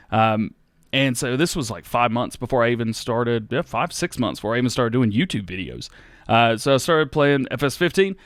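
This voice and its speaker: An American man in his thirties, hosting a casual Q&A on his gaming channel, reading out viewers' questions and answering in a relaxed, rambling way. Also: mid-range voice, dry recording, studio quality. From United States. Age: 30s. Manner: relaxed and rambling, with many fillers and restarts. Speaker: YouTube gaming host.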